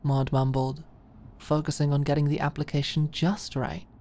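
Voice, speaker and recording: A woman's voice: low voice, level tone, normal speed, warm tone. A woman reading scripted romance fiction as an audiobook narrator in an ASMR style.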